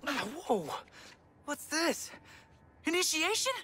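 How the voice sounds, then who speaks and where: Slow, swooping, eager kid. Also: female voice, sits high, big pitch swings, very noisy, exaggerated voice. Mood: wide-eyed. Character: naive, eager kid inventor, easily impressed. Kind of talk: video game character acting.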